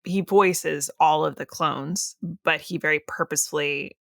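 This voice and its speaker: A woman with a low, creaky voice chats casually as a podcast host. She is effusive and prone to giggling, feeling her way through her sentences. The recording is dry and close. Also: steady tone, measured pace, fairly bright tone, dry recording. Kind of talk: casual fan podcast chat.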